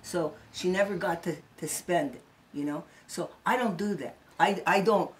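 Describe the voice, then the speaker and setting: A middle-aged woman chats as a guest, loose and conversational, scattering fillers through her talk. Her voice sits a little low. A faint noise runs underneath. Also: moderate pace. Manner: chatty, conversational, frequent fillers. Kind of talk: casual spiritual chat.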